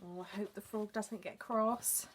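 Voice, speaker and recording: A woman's voice: medium pitch, steady tone, unhurried. A woman teacher reading a children's storybook expressively, adding explanatory asides for young listeners. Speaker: early years teacher reading aloud.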